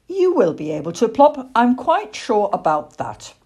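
A woman voicing a patient but weary mother barn owl in a storybook, brisk, coaxing and motherly. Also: on the high side, big pitch swings, darkish tone, breathy edge, voice pushed up, heavily acted. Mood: reassuring.

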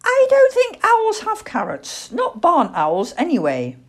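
A woman's voice: extremely high pitch, huge pitch swings, unhurried, voice pushed up, exaggerated voice. A woman voicing an anxious, eager young boy barn owl in a storybook, with a childlike, earnest manner.

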